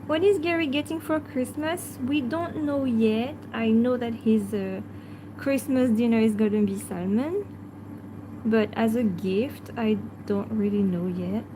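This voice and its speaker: French-accented woman hosting a pet livestream, slightly high voice, slow and hesitant, background noise and passing cars. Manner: hesitant, frequent fillers, talks softly to her pet. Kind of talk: casual livestream chat answering viewers.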